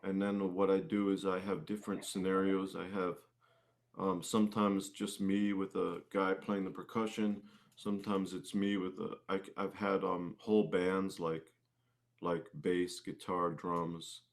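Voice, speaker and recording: A man's voice: deep voice, level tone, a little slow, gravelly. A man talking casually as an interview guest, restarting and repeating phrases as he explains things. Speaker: guest, singer-songwriter and musician.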